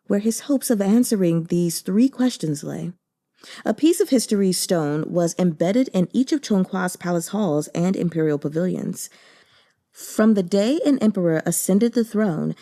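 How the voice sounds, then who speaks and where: A woman narrating a translated novel in a measured, deliberate storytelling manner. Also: lowish voice, mellow. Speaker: audiobook narrator.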